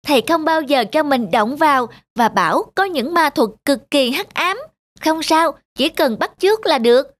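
A woman's voice: high-pitched, expressive, moderate pace, no echo. A woman playing an eager, naive young monkey apprentice in a children's cartoon, with a childlike, earnest manner. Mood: mischievous, excited. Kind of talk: dubbed children's moral cartoon. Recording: music behind the dialogue.

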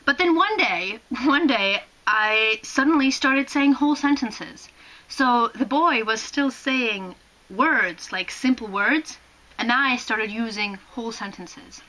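A young woman from Poland whose first language is Polish, telling childhood stories to the camera in English with a Canadian-tinged accent and an animated, gesturing manner, often restarting her sentences. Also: fairly high pitch, slow, poor audio. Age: young adult. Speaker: vlogger. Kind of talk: casual storytelling to camera. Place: small room indoors, sitting on a bed.

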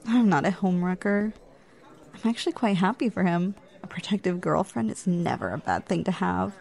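A woman's voice: lowish voice, animated, normal speed, creaky, darkish tone. Flirty, teasing woman acting on a date. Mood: amused, flirty. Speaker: ASMR roleplayer. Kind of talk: ASMR roleplay.

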